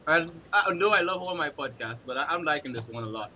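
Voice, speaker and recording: A man's voice: on the high side, lively intonation, fast talker, over a noisy crowd, poor audio. A man hosting a casual podcast, rambling with many ums and restarts. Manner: rambling, lots of fillers and restarts. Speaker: podcast host. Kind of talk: casual chat.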